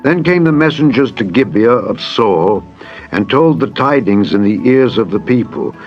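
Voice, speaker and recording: A man's voice: mid-range voice, lively intonation, normal speed. A man narrating a dramatized scripture audiobook in a solemn, formal, declamatory way. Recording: dull band-limited audio with music. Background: background music.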